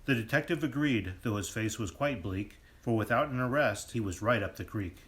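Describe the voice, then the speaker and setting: A man's voice: medium pitch, moderate pace, fairly bright tone, natural voice. A man playing a theatrical storybook narrator, telling a comic murder mystery in lilting rhyme. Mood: dramatic, wry. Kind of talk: scripted rhyming narration.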